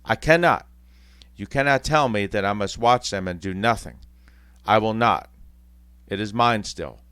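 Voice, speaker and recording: A man voicing Scrooge, a bitter old miser, speaking in stern, halting protests. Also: medium pitch, animated, fairly bright tone, no room sound, voice pushed up. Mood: anguished.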